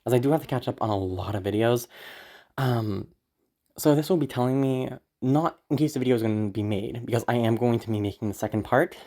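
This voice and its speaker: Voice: medium pitch, a little fast, mellow. A person giving a casual, rambling update to listeners in an anxious, apologetic, hesitant way, swearing casually, with a sick, tired throat. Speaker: audio content creator.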